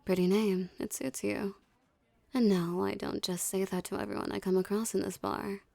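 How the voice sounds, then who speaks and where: A woman's voice: mid-range voice, steady tone, warm tone, no echo. A woman playing a dominant, teasing mafia boss in an ASMR roleplay, speaking with cool, condescending flirtation. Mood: flirtatious, amused. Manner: smooth menacing flirtation, condescending, unhurried. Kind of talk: ASMR roleplay, scripted character acting.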